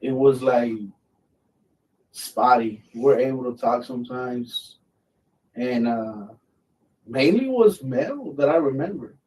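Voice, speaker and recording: A man's voice: mid-range voice, lively intonation, takes it slowly, long pauses, a little mellow, distant mic, rough recording. A middle-aged man from Mexico, a military veteran, telling stories in a blunt, easygoing way and swearing freely. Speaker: military veteran guest, channel host. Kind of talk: casual live chat.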